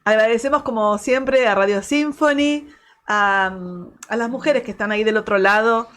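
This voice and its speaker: Higher-pitched Argentine woman radio host. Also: normal speed, fairly bright tone. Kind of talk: live talk show chat and sign-off.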